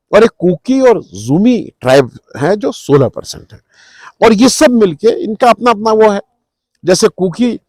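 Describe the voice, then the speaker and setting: A man's voice: high-pitched, darkish tone, dry recording. A middle-aged man gives unscripted political commentary, explaining things to viewers in a conversational way and mixing in English words. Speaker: news commentary host.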